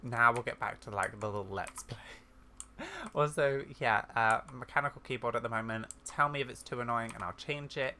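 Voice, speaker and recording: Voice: mid-range voice, a little fast, bright, forceful tone, slight background noise. A gamer talking casually through a let's play, close on a desk mic. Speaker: YouTube gamer. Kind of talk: casual let's play with dialogue read aloud.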